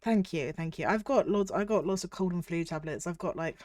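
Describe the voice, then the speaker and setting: A young British woman streaming as a VTuber, chatting casually and reading chat aloud while her voice is husky from a cold. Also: lowish voice, a little fast, a little mellow, no echo, clean studio sound. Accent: British English. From United Kingdom.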